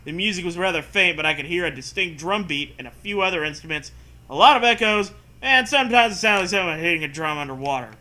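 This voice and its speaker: A man's voice: high-pitched, lively intonation, fast, sharp tone. A man doing a mocking dramatic reading with casual riffing, sarcastic, profane and prone to hammy emphasis. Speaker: comedy co-host reading fan fiction aloud.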